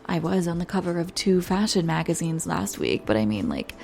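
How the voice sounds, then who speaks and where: A woman playing a nervous, lovesick young pop star in an intimate audio roleplay, speaking in a halting, flustered way. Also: fairly low pitch, sing-song, darkish tone, soft background music. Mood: giddy, anxious. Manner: flustered, halting, self-interrupting, breathy sighs.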